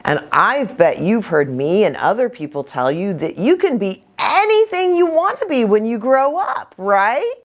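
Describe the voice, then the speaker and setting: Animated woman hosting children's storytime. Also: mid-range voice, measured pace, few pauses. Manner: animated, addressing young children with questions. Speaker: children's ministry host and pastor. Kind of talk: children's storytime, read-aloud with asides.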